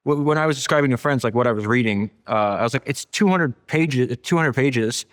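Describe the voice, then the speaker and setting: A male podcast host with a mid-range voice and a quick pace. He speaks emphatically, repeating key phrases for stress as he reads out quotes and comments on them in conversation. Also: mid-range voice. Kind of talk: animated book discussion, reading quotes aloud and commenting.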